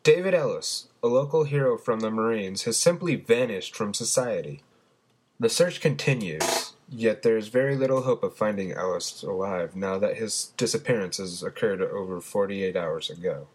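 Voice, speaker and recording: A man's voice: on the low side, expressive, normal speed, breathy edge. A man playing a solemn, formal local news anchor reading headlines in an audio drama. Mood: solemn, announcing. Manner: formal, broadcast delivery.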